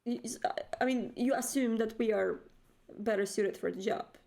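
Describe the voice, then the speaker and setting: A woman playing in a tabletop roleplay, improvising in character in a hesitant, halting way with stammers. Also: a little high, vocal fry, mellow. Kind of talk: improvised in-character game talk.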